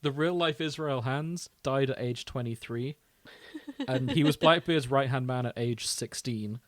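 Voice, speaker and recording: A man's voice: medium pitch, unhurried, dry recording. Man casually explaining, dry close sound. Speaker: podcast co-host. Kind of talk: casual chat about a TV show.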